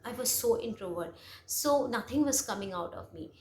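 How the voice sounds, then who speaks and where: A woman's voice: on the high side, animated, normal speed, a little mellow. A young woman from India with an Indian English accent, whose first language is Bengali, telling her own story in a reflective, musing way with many fillers and self-corrections. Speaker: language vlogger. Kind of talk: personal storytelling. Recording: roomy with faint ambient noise.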